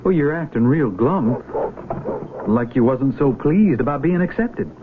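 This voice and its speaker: Boyish Civil War recruit, rural American drawl, folksy and keen, mid pitch, heavily band-limited noisy old radio drama. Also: male voice, lively intonation, a little slow. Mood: chatty. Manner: folksy, enthusiastic, drawling country talk. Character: eager, boyish young rural Union Army volunteer. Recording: noisy, tinny vintage radio broadcast recording.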